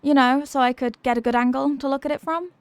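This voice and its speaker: An affectionate headless horsewoman girlfriend, played by a woman, with a fairly high voice in a dry, close space. She is centuries old yet sheepish and klutzy, explaining herself in gentle rambles, in the manner of an intimate ASMR roleplay. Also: steady tone, fast talker, no echo.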